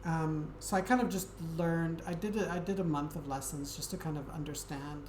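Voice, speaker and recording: A woman streamer chatting casually with her viewers in a soft, friendly, hesitant way, with frequent ums. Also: low-pitched, moderate pace. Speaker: Twitch streamer. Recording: echoey room with steady background noise.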